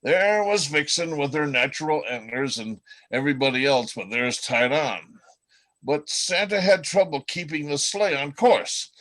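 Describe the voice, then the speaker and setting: Guy doing a chatty reindeer in a radio play, bright voice, folksy and a bit halting, kinda jaded. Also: a little high, rough recording. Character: chatty, world-weary male reindeer telling old North Pole gossip. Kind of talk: radio play reading by amateur readers.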